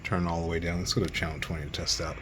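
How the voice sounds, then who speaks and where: A man's voice: deep voice, level tone, very fast, fairly bright tone, husky. A man giving a casual, rambling, unscripted hands-on radio gear review in a matter-of-fact way. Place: radio bench, indoors.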